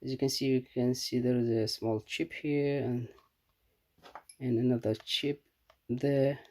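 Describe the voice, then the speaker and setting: A man giving a casual, unscripted hands-on product review in a halting, matter-of-fact way. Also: medium pitch, fairly even intonation, warm tone.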